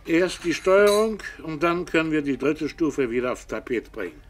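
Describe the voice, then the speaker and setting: An actor playing a bossy German engineer in a radio drama, brusque and emphatic. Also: male voice, a little high, expressive, moderate pace, a little hiss or hum, natural voice. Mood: businesslike, irritated. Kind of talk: naturalistic radio drama acting.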